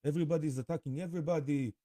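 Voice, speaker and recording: A man with an Israeli accent talking informally to camera, halting often as he pauses and repeats himself. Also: fairly high pitch, steady tone, warm tone, no room sound. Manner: halting, with frequent pauses and repetitions. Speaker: spiritual vlogger. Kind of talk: rambling informal talk to camera.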